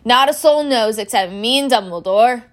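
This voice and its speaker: Woman voicing hearty big groundskeeper man, raised pitch. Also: animated, unhurried, fairly bright tone. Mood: proud, chatty. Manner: colloquial, hearty, blurting. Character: big bearded groundskeeper man, proud, secretive but easily flattered.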